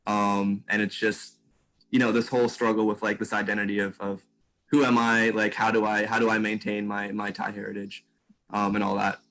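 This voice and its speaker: A man's voice: fairly low pitch, level tone, moderate pace, fairly bright tone, rough recording. A young man from Virginia telling his background in a reflective way, with frequent "um". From Virginia, United States. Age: young adult. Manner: reflective, uses "um" often. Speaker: scholarship recipient guest. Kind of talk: casual interview answers.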